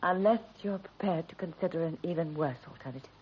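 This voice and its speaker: An actress playing a worried, shrewd and loyal British wife in a period radio drama, earnest, deliberate and probing. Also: female voice, on the low side, natural voice. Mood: suspicious. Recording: dull vintage radio recording, faint hiss.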